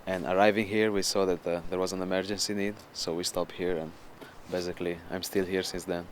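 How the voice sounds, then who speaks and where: A man's voice: low-pitched, flat delivery, fairly quick, noisy background. A young man, telling his story in a plain, matter-of-fact way. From Italy. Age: 20s. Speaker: volunteer interviewee. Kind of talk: interview answers.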